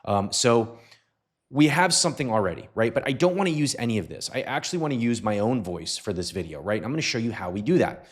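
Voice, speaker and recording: A man narrating a casual software tutorial in a conversational way, often checking in with short tag questions. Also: mid-range voice, big pitch swings, quick pace, breathy edge. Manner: conversational, often tags sentences with "right?". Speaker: tutorial host.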